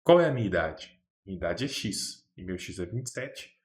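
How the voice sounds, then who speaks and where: A man's voice: sits low, big pitch swings, normal speed. A man with a Brazilian Portuguese accent teaching a math lesson step by step, patiently checking that the viewer follows. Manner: patient, keeps checking in with "beleza?".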